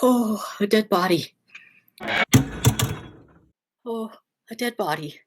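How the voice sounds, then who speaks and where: A teenage woman playing an agitated, horrified young man who stammers and exclaims in a high school radio play. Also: expressive, moderate pace, heavy background noise, natural voice. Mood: distracted, then shocked.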